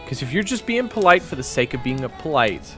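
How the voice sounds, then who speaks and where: A man performing a first-person audio roleplay, narrating and talking to the listener, often stammering and flustered, sometimes whispering and sometimes yelling. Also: mid-range voice, big pitch swings, fairly quick. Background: video game soundtrack music.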